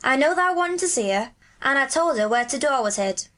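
Woman playing a country lad, high pitch, eager, plain-spoken, loving, storybook character. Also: lively intonation, a little fast, a bit bright. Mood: glad, proud. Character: bright, loving country boy, open-hearted.

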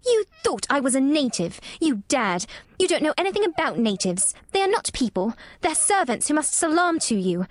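Imperious spoiled child, high lively delivery, clipped and disdainful, read by a woman narrator. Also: normal speed, hardly any pauses, no room sound. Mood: furious. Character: haughty spoiled young girl, imperious. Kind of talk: audiobook character reading.

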